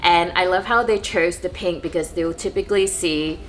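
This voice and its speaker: A woman's voice: on the low side, moderate pace, a little hiss or hum. A woman from Malaysia chatting casually and enthusiastically to camera as a vlogger.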